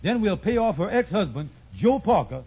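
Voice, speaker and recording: Radio sitcom con man: high, rapid, folksy, wheedling, muffled vintage sound. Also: very animated, breathy edge, heavily acted. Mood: scheming. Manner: folksy, wheedling, stammers when cornered. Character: fast-talking scheming middle-aged man, wheedling, blustering con artist. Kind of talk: 1950s radio sitcom acting. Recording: muffled old radio broadcast.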